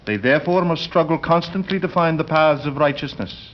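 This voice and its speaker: An actor playing a brooding religious fanatic in a 1940s radio drama, speaking formally in deliberate, sermonizing phrases. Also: male voice, fairly high pitch, close to an everyday voice. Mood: fervent, condemning. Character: brooding, pious, sinister male lodger, religious fanatic. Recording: muffled, noisy old 1940s radio recording.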